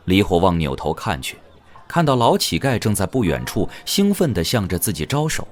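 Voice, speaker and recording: A man's voice: deep voice, wildly swooping pitch, normal speed. A man narrating an audio drama in a dramatic, scripted storytelling style.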